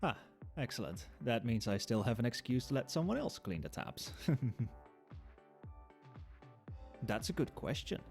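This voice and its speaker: A man playing a chatty, knowing nightclub barman in an ASMR roleplay, talking conversationally to the listener with wry understatement. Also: medium pitch. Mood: pleased, explanatory. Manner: conversational, explanatory, with hesitations and understatement. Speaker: voice actor in an audio roleplay. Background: soft club music and nightclub ambience.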